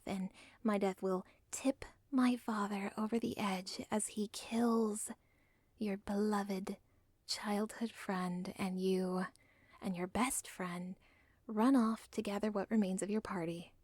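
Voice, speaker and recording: A woman's voice: medium pitch, steady tone, slow, darkish tone, dry recording. A woman whispering as an unstable villain's daughter. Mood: urgent. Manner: intimate, pleading, self-aware. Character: obsessive lovestruck young villain's daughter, unstable. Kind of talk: ASMR audio role play.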